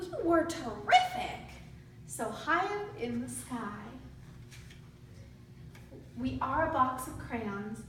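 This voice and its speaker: A woman's voice: high voice, fairly bright tone. A woman teaching a read-aloud lesson to young children, using simple instructional phrasing and prompting them with questions. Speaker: student teacher reading aloud to a class. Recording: very echoey room, distant mic, faint noise.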